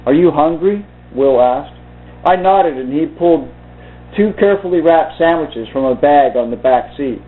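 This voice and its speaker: A man reading aloud and commenting informally in a halting, deliberate way. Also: a little high, animated. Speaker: video host reading from a book. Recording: muffled phone-like narrow-band sound.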